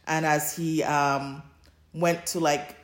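A woman with a low-pitched voice talks casually, like a podcast co-host retelling a story to a friend. Her delivery is informal and conversational. The recording is roomy, with noticeable echo around her voice. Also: little pitch movement, takes it slowly. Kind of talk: casual chat, recapping fan fiction.

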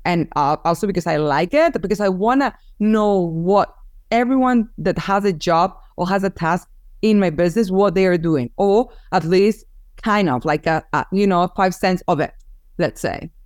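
A woman's voice: on the low side, lively intonation, moderate pace, darkish tone, dry recording, clean studio sound. A woman whose first language is Spanish, talking through her work in a casual interview and often restarting as she hunts for words. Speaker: business owner, photographer.

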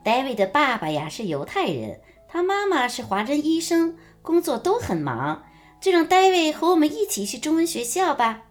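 A woman playing a gentle, coaxing and proud mother of a young girl in a radio drama. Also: sits high, big pitch swings, normal speed, faint music behind. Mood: gentle, encouraging.

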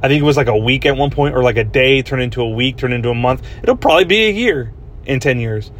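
A man's voice: mid-range voice, animated, fast, slight background noise. A man hosting a solo podcast, ranting casually and profanely, rambling while he acts out his own inner dialogue. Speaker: podcast host.

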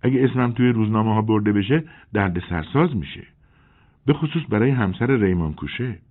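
A man voicing a nervous, fragile young woman in an audiobook, with a husky tone on tinny phone-like audio. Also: fairly low pitch, animated, pitched above the performer's own voice. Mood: anxious. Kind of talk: audiobook character voice.

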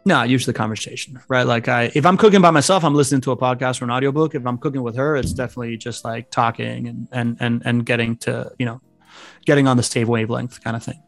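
A man's voice: mid-range voice, fairly even intonation, quick pace, creaky, dry recording, soft background music. A man in his thirties, a podcast guest, chatting casually and telling rambling stories with many restarts. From Venezuelan family, grew up outside Venezuela. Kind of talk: casual interview chat.

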